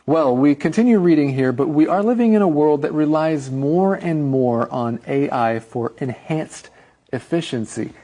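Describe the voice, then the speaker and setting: A man's voice: fairly high pitch, expressive, normal speed, warm tone. A man co-hosting an English lesson, chatting playfully and thinking aloud. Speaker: co-host. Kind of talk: casual chat in an English lesson.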